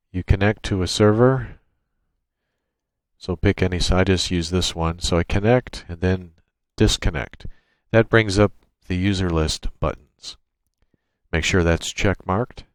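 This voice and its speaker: A man narrating an unscripted software tutorial, explaining each step in a matter-of-fact way. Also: sits low, takes it slowly, no room sound. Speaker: tutorial presenter. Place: indoors at a computer, screen-recording voice-over.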